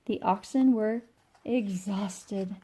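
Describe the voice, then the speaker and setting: Woman, mellow voice, slow pace, expressive children's read-aloud, informal storyteller delivery. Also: mid-range voice, breathy edge.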